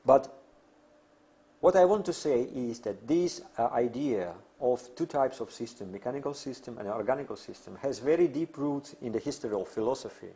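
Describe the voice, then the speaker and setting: A man who is a non-native English speaker lecturing on theory in halting, deliberate phrases with emphatic pauses. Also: mid-range voice, animated, mellow. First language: not English. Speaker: academic lecturer.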